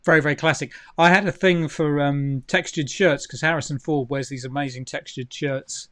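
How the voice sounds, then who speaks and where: A man's voice: fairly high pitch, steady tone, moderate pace, fairly bright tone. A man interviewing a guest, hesitant and full of fillers as he phrases questions. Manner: hesitant, many fillers and restarts. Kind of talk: casual video-call interview.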